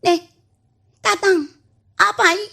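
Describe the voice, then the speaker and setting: A curious, tattling Ilocano child played by a woman in a radio comedy. The voice is extremely high as the child innocently pries. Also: fairly even intonation, slow, vocal fry, bright, forceful tone, exaggerated voice. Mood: innocent, prying.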